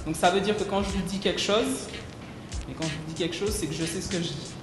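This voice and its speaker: A woman's voice: on the low side, fast talker. A woman teaching a hair care class, firm and direct with her students. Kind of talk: instructing a class. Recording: echoey hall with music.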